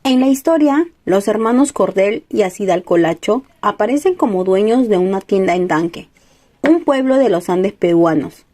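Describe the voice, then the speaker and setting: A young woman reads a script as a student presenter. Her tone is soft-edged and mellow, and her pitch sits in the middle range. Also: animated, normal speed. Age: young adult. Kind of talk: scripted narration.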